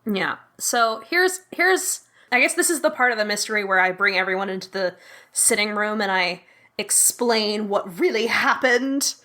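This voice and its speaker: A woman's voice: mid-range voice, expressive, normal speed, bright, forceful tone. A woman playing a tabletop mystery game as a guest, who thinks aloud with many fillers. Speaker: guest player.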